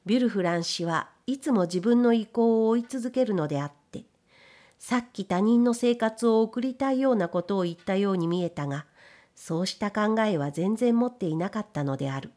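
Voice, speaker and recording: A woman narrates an audiobook in a mid-pitched voice. Her delivery is measured and literary, shaped as careful storytelling from a script. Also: dark tone.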